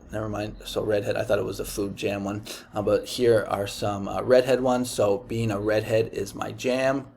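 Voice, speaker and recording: A man's voice: lowish voice, gravelly, darkish tone, slight background noise. A man with a Dominican accent hosting a casual screen-share tutorial, chatty and rambling with many ums and restarts. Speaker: YouTube host teaching print-on-demand design.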